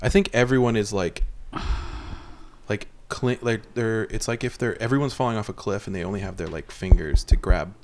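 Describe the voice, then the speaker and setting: A man with a lowish voice co-hosts a homemade podcast, thinking out loud and circling back with restarts. A faint hiss sits under the recording. His talk is loose and conversational. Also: moderate pace. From Canada. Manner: rambling, restarts, musing asides. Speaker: podcast co-host. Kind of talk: casual chat. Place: basement apartment.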